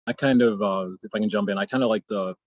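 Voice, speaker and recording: A man's voice: fairly low pitch, fast talker, lo-fi sound. A man speaking as a panelist on a video call, thinking aloud in long run-on sentences. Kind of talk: panel discussion.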